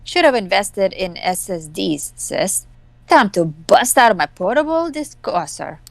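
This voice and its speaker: A woman's voice: mid-range voice, big pitch swings, unhurried, creaky, no room sound. A woman playing a sardonic young woman hacker in an amateur game reading, wry, flippant and casual. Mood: focused, flippant. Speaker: voice actor in a hobby visual novel performance.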